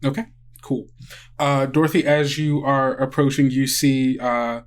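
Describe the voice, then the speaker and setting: A man's voice: mid-range voice, level tone, a little slow. A man narrating a tabletop game as game master, improvising hesitantly in a casual way. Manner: hesitant, frequent "like" and "kind of".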